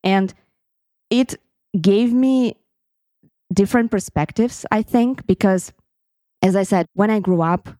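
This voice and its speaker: A woman's voice: medium pitch, slow pace, pauses often, mellow, no room sound. A woman in her thirties from Crimea whose first language is Russian, telling a personal story on her podcast in an earnest, reflective way. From Crimea, Ukraine. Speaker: podcast host.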